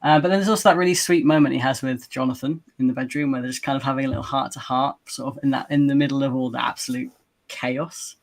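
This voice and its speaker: A man's voice: medium pitch, fairly even intonation, fast talker, vocal fry. A man chatting casually and thoughtfully as a livestream guest, somewhat hesitant. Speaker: cast guest on a Q&A.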